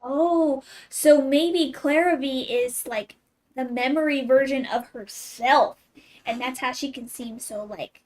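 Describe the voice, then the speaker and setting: High-voiced woman chatting over gameplay. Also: slow, a little mellow. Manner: chatty, gushing fan talk. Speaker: streamer playing a video game. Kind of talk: casual gameplay commentary.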